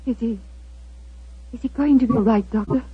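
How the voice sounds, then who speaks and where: A woman's voice: a little high, moderate pace, breathy edge, lo-fi sound. A woman acting a composed, secretive lady club member, polite and guarded, in a vintage 1940s radio drama. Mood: anxious.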